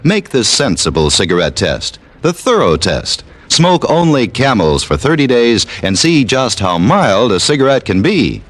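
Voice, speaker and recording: A male announcer delivering an old radio cigarette commercial in a booming, theatrical style. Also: big pitch swings, a little slow, few pauses. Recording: old radio broadcast with brass.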